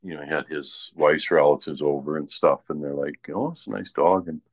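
A man's voice: very deep voice, steady tone, fairly quick, dry recording. A man in casual, rambling conversation, telling personal anecdotes and often tagging sentences with "right?".

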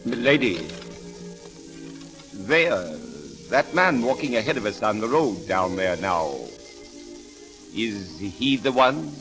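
A man's voice: fairly low pitch, lively intonation, slow pace, long pauses, music in the background. An actor playing a deferential coachman serving a noble lady in a 1930s radio drama.

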